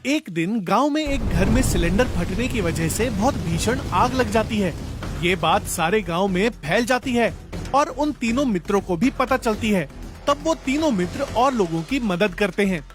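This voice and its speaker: A man's voice: sits high, lively intonation, moderate pace, music in the background. A man narrating a scripted moral story for children as a storyteller.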